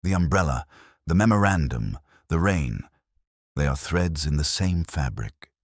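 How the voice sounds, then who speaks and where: A man's voice: a bass voice, expressive, unhurried, no room sound, studio quality, exaggerated voice. A man voicing a large, imperious older detective in an audiobook, speaking formally and tersely. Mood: grave, commanding. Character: large, brilliant, imperious older male detective, grumpy and deliberate.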